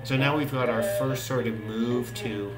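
A man casually talking through the stations as he tunes a shortwave radio, relaxed and unhurried, thinking aloud with many pauses. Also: medium pitch. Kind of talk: casual narration while tuning a radio. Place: indoor room at a desk with the radio. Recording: roomy, distant, loud radio broadcasts behind. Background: shortwave radio broadcasts and music.

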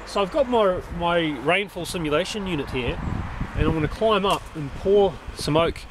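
A man's voice: high voice, normal speed, background noise. A man in his thirties with an Australian accent, thinking aloud as he explains a tree in his backyard, often restarting his sentences. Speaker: permaculture designer. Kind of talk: casual explanatory vlog. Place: outdoors in a backyard under a large tree. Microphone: handheld camera at arm's length.

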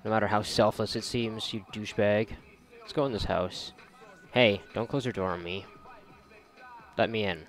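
Guy with a lowish voice riffing over a video game, sarcastic, sweary, bit of music behind. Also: steady tone, normal speed, pauses often, fairly bright tone. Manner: sarcastic, joking, profane. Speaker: gamer, video creator. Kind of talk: casual let's play commentary.

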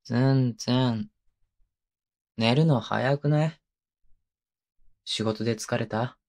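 A man's voice: mid-range voice, slow, a little mellow. A male voice actor playing a playful, clingy, childlike young husband in an intimate ASMR drama, coaxing and teasing in a casual, hesitant way. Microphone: close microphone, binaural.

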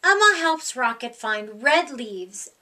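A middle-aged woman reading a children's picture book aloud in a deliberate, expressive way. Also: on the high side. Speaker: storytime reader. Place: small room indoors.

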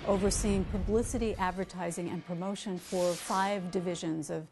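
A woman in her forties, an executive coach, speaking briskly and directly to the camera while seated in an office. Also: lowish voice, steady tone, over music. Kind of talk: interview-style talk to camera. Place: office room indoors.

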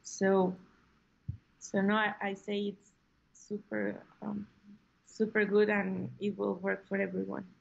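A young woman from Mexico with a Spanish accent, answering interview questions over a video call in a halting way with many fillers. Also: mid-range voice, vocal fry, highs cut off. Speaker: guest. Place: room at home.

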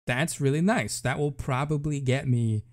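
A man's voice: mid-range voice, moderate pace. A man giving casual live reaction commentary as a gaming video creator, thinking aloud informally as he reads along. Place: room at home.

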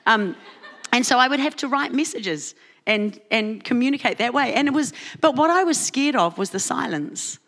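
A woman pastor with a New Zealand accent, preaching at a church service and telling a personal story with lively asides. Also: on the high side. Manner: storytelling, animated asides with laughs. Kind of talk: sermon.

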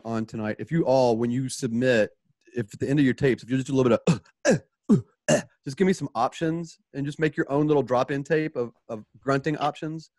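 A man with a medium-pitched voice casually directs actors and describes sound cues. He mouths effects as he goes. The sound is close and dry. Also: dry recording. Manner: informal, animated with spoken sound effects.

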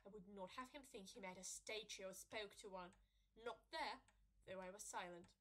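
A woman playing a proud, quick-witted young wife in an audio drama, speaking formally and theatrically as in early stage comedy. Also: medium pitch, a bit bright, slight background noise, natural voice. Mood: pensive, wary. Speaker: amateur actor.

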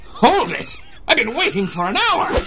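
An actor playing a deranged, gleeful supervillain who commands monkeys, theatrical and taunting, in a 1930s-style radio drama. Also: male voice, very high voice, huge pitch swings, normal speed, a bit bright, slight background noise, heavily acted. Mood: manic glee. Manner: theatrical, taunting, cackling, swinging from croon to shriek.

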